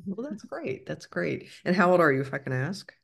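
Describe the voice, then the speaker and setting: A woman in her sixties hosts a casual interview. She speaks at an average pitch in an easygoing, rambling way, feeling her way through sentences. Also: sing-song, moderate pace, creaky, husky. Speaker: podcast host, interviewer.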